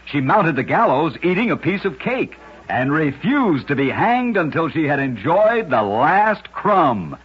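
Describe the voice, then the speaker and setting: A man hosting short radio tales of oddities, reading scripted narration in a measured old-time style with emphatic sign-offs. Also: a little high, big pitch swings, slightly rough. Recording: dull, lo-fi old radio broadcast.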